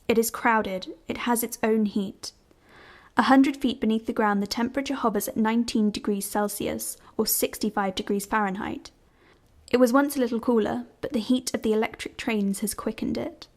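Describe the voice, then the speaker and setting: Female narrator, slightly high voice, composed and deliberate scripted reading for a literary audio piece. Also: steady tone.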